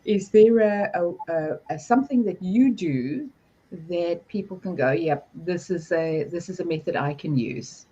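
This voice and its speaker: A woman hosting a business livestream with a croaky voice, friendly and chatty as she asks questions and laughs often. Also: fairly low pitch, measured pace, dark tone, dull audio. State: hoarse, croaky voice. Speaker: host.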